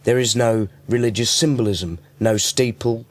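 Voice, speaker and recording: A man's voice: mid-range voice, moderate pace. A man working as a radio reporter, reading scripted narration and putting interview questions.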